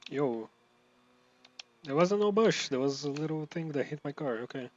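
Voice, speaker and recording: A man's voice: fairly high pitch, lively intonation, moderate pace, highs cut off. Mumbly swearing man from Brazil. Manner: rambling, swears a lot, mumbles words together; loud outbursts at driving mistakes. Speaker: gameplay streamer. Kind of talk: casual gameplay commentary.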